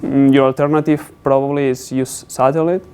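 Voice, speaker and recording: Young guy presenting his tech project, clear smooth voice, kinda hesitant. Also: mid-range voice, flat delivery, a little fast, a little mellow. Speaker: student presenter. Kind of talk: technical capstone presentation.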